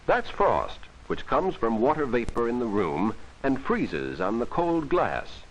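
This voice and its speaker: A man's voice: mid-range voice, big pitch swings, a little slow, slight background noise, poor audio. A man narrating a vintage 1940s educational film for children, explaining things simply and instructively on an old film soundtrack.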